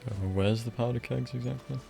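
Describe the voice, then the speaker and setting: A man's voice: mid-range voice, steady tone, brisk, vocal fry, music in the background. A man giving casual live commentary on a game, musing aloud informally as he plays. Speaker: game streamer.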